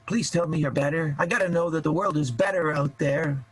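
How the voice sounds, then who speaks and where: A man playing a wounded, desperate outlaw in an improvised radio soap opera, husky, plaintive and melodramatic. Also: fairly high pitch, a little fast. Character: wounded, desperate vaudeville outlaw hiding in a furnace room.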